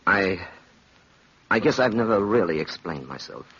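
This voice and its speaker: A man's voice: low voice, expressive, moderate pace, a bit bright, breathy edge, full character voice. An actor playing a cold, ambitious, calculating man who talks persuasively and theatrically in an old radio drama. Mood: falsely earnest. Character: cold, calculating, smooth-talking ambitious male schemer. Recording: dull, lo-fi old radio recording.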